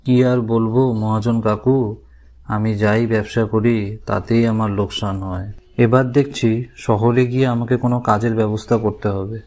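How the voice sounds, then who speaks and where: A man's voice: lowish voice, steady tone, unhurried, a little mellow, close to an everyday voice. A man playing a poor but hopeful village farmer in a cartoon, speaking in an earnest, mild way. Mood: earnest, worried. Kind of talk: cartoon character dialogue. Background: music.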